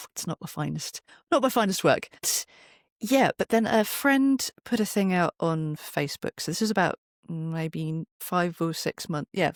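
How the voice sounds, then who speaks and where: A middle-aged performer chats as a podcast guest, telling stories conversationally. The voice sits at a medium pitch and the delivery is wry and self-deprecating. The sound is dry, with no room around it. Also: dry recording. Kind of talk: conversational interview, anecdotal storytelling.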